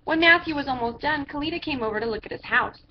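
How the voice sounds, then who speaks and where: A woman with a high voice reads a children's story aloud, stretching words for drama. The recording is dull and lo-fi, with clear noise behind her. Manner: theatrical, drawn-out phrases with emphatic character voices. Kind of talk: animated picture-book read-aloud. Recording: dull lo-fi sound with background noise.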